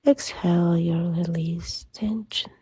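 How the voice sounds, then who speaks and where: A woman leading a guided sleep meditation in a soft, soothing, gentle voice that often drops to a breathy near-whisper. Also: lowish voice, steady tone, slow pace, no room sound. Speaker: hypnotherapist guide.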